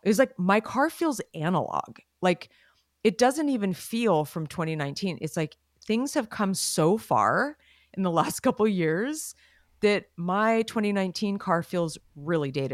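A woman co-hosting a casual chat podcast, sounding nasal from allergies, playful and quick to laugh as she tells stories. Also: lowish voice, no echo, studio quality. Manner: playful, laughs often, animated storyteller. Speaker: podcast co-host.

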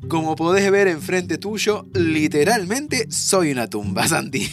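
A playful, evasive Argentine time traveller in an audio drama. He takes his time over the words, with lively swings of pitch and a fond undertone, over a music bed. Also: measured pace. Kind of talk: naturalistic audio fiction acting.

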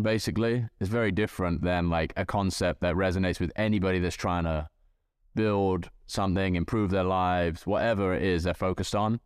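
A man's voice: sits low, smooth, no echo, studio quality. A man speaking as a podcast guest, reflective and thinking aloud with frequent hedges. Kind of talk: casual podcast chat.

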